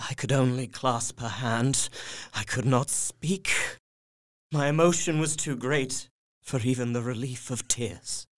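A man's voice: mid-range voice, a little slow, lightly acted. An actor playing a devoted young husband, narrating his diary in an earnest, subdued manner. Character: young husband Jonathan Harker, anxious, devoted. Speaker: narrator-diarist. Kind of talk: audio drama narration.

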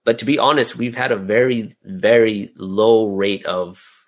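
Low-voiced man explaining casually, hesitantly. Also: fairly even intonation, a little slow. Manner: informal, hesitant, often repeating words mid-thought. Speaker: interview guest, audio drama creator and business owner. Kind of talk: casual explanatory interview talk.

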